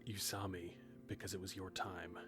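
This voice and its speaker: A very low-voiced, solemn grim reaper, acted by a man for an audio drama. He speaks in a calm, measured, matter-of-fact way, with music clearly playing behind his words. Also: close to an everyday voice.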